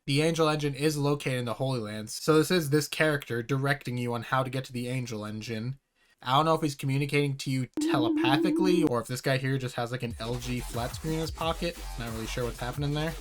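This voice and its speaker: A man's voice: medium pitch, a bit bright. A man giving casual, sweary reaction commentary as a YouTuber, with exasperated sarcasm and a tendency to exclaim.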